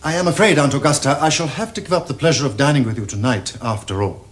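An actor playing a suave, glibly polite young Victorian gentleman with an upper-class English accent and mannered delivery. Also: medium pitch, quick pace, lightly acted. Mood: feigned regret. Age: young adult.

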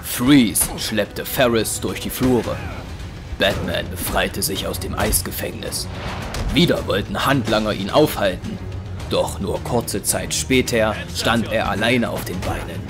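Low-voiced male narrator over music. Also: unhurried. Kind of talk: scripted audio drama narration in past tense.

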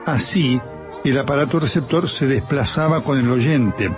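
A male journalist with an Argentine accent giving a measured, scripted documentary narration. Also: medium pitch. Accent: Argentine Spanish. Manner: measured, even reading. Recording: slightly dull sound with music underneath.

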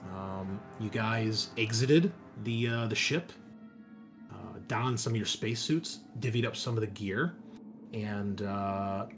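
A man game master recapping a tabletop session in a casual, conversational way, halting at times and leaning on descriptive words. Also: on the low side. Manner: casual, sweary, conversational asides. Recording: clear background noise, room ambience.

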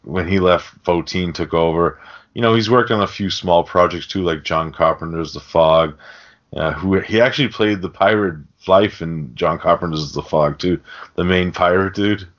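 A man's voice: deep voice, normal speed, fairly bright tone. A man co-hosting a casual film podcast, swearing freely as he enthusiastically explains things. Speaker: podcast co-host. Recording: dull, lo-fi podcast audio.

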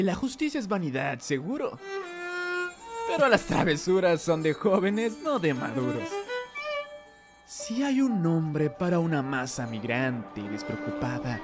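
A man voicing a flamboyant, mischievous young cat bootlegger and poet in a cartoon dub, theatrical and teasing, with a Mexican Spanish accent. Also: fairly high pitch. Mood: grandiose, poetic. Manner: grandiloquent, teasing. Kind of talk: theatrical animated fandub. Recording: music behind the voice.

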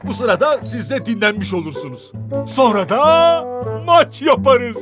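A very high, swooping cartoon truck character, excitable and kind-hearted. The delivery is exaggerated and expressive, made for a children's animated show, with music behind it. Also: male voice, unhurried, exaggerated voice. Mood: eager, upbeat. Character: excitable male toy dump truck, sleepy, kind-hearted.

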